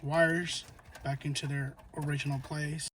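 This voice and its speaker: A man's voice: on the high side, little pitch movement, measured pace, a bit bright, slight background noise. A man narrating a casual hands-on tutorial, informal and thinking aloud as he works. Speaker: how-to video host.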